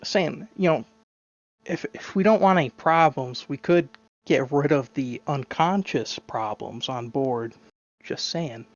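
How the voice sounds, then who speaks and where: A player in a tabletop game, speaking in a sly, deadpan way with dark humor. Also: mid-range voice, measured pace, no echo. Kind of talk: in-character tabletop roleplay. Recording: dull dry lo-fi mic.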